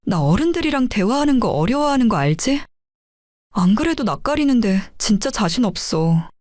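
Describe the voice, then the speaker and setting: A woman's voice: a little high, sing-song, moderate pace, darkish tone, no echo, clean studio sound. A woman playing a shy young bride-to-be who questions and pleads with her boyfriend. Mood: worried. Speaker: narrator's younger self in dialogue. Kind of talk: audio drama acting.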